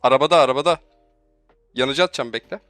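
A man chatting casually in game voice chat, cheeky and mock-polite. His voice has an ordinary middle pitch, with game music clearly playing behind. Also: fast, fairly bright tone. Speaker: online shooter player.

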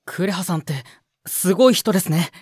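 A man's voice: sits high, fairly quick, no room sound. A man playing a young junior sound mixer, hesitant, casually polite and easily startled, in a Japanese audio drama. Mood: polite, impressed. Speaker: voice actor.

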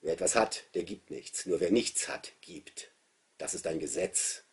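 A man voicing a gruff, taciturn grown man, a killer with a curt, blunt manner, in a literary story reading. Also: low-pitched, measured pace, full character voice. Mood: gruff, warning.

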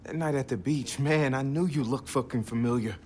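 A man's voice: medium pitch, a little fast, darkish tone, slight background noise, lightly acted. Actor as a young, street-smart male hacker. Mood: guarded. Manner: casual, profane, urgent. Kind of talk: naturalistic game cutscene acting.

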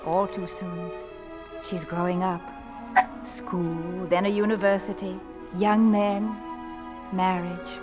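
A woman acting a gentle, wistful widowed mother in middle age, in 1940s-style radio drama. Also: fairly low pitch, flat delivery, slow, over music.

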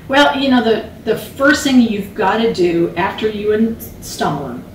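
Woman, 50s, mid-pitched, chatty and animated; distant mic in an echoey room. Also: unhurried, a little hiss or hum. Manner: chatty, animated, gestures with her hands. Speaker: beekeeping instructor. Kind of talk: casual conversation. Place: living room, indoors. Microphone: far camera.

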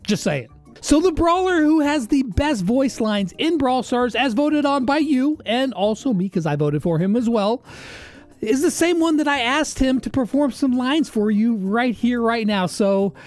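A man's voice: extremely high pitch, a little slow. A middle-aged man giving upbeat, informal countdown commentary on a video game into a close microphone. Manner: expressive, informal, emphatic. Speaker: video host. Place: indoor streaming studio. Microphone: close boom-arm microphone. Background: faint music.

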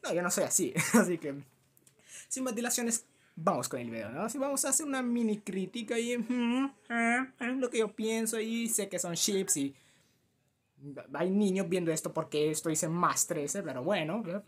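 A young man with a Latin American Spanish accent chats to the camera in a fairly high, youthful voice. He is animated and rambles in a self-deprecating way, like a casual YouTuber recording at home. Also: normal speed. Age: teens to early twenties. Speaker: YouTuber reacting to a fan dub. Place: small cluttered room at home.

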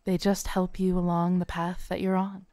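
A woman playing a kindly, knowing tarot reader at a Renaissance faire, speaking softly, smoothly and soothingly to the listener in an audio roleplay. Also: fairly low pitch, monotone, no echo, lightly acted. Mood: solemn, encouraging. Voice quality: smooth, soft. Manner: unhurried, soothing, theatrical, with dramatic pauses. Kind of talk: soft-spoken audio roleplay addressed to the listener. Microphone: close studio mic, dry.

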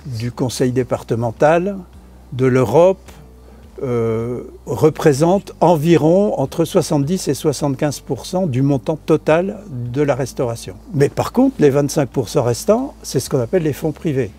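A man's voice: medium pitch, expressive, measured pace, dark tone. An older man from France explaining the funding of a restoration in an outdoor interview, hesitant with many fillers and restarts. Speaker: association member. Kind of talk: interview answers, casual explanation. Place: outdoors on a stone chapel tower balcony.

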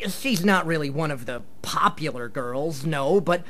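A man's voice: on the high side, animated, measured pace, fairly bright tone, slight background noise. An actor playing a nerdy teenage boy in a radio play, earnest and defensive, hesitant and wordy. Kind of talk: live radio play acting.

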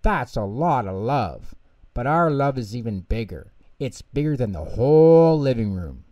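A man's voice: medium pitch, very animated, measured pace, mellow, no room sound, studio quality. A man voicing playful young otters in a children's storybook, eager, needy and insistent. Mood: impressed, playful. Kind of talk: children's storybook character reading.

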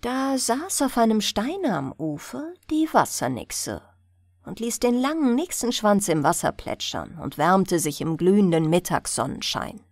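A woman reading a bedtime fairy tale in a soothing, expressive storytelling manner. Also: medium pitch, dry recording, studio quality. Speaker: audiobook narrator.

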